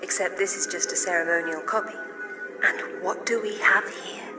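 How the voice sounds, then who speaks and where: A woman's voice: lowish voice, moderate pace, close to an everyday voice. An actress playing a confident female adventurer archaeologist, dry and self-assured. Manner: cool, wry and self-assured. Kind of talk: video game cutscene acting. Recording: game audio under loud music.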